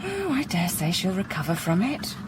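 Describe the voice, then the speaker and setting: A woman's voice: on the low side, huge pitch swings, normal speed, background noise. An actress playing a witty, scheming young woman, arch and teasing in a period radio drama.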